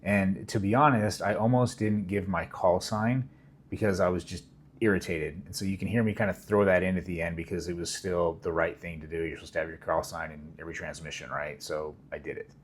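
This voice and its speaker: Middle-aged guy, lowish voice, talking viewers through it all measured and earnest. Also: steady tone, slight background noise. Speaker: vlogger and airline pilot. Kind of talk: explanatory commentary to viewers.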